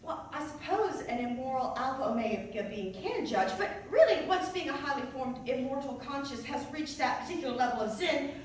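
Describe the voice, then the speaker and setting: Woman performing as a high-voiced rambling mother, echoey room. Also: lively intonation. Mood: flustered, doubting. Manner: rambling, halting, digressive, self-interrupting. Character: rambling, doubting, wry churchgoing mother. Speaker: actor performing a monologue. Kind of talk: theatrical comic monologue in a staged reading. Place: indoor staged reading in a reverberant room. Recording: echoey room, distant mic, faint noise.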